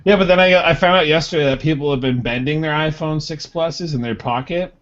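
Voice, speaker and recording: A man's voice: fairly high pitch, fairly quick, a bit bright. A man co-hosting a casual podcast, telling a rambling story and acting out the quotes. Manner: rambling anecdote with acted quotes. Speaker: podcast co-host. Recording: dull lo-fi podcast audio.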